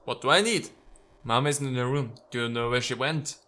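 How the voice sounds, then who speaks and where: Bright-voiced man doing playful gaming commentary. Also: medium pitch, moderate pace. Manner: playful, theatrical, talks to game characters. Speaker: let's play host. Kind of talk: casual gaming commentary with read-out game text.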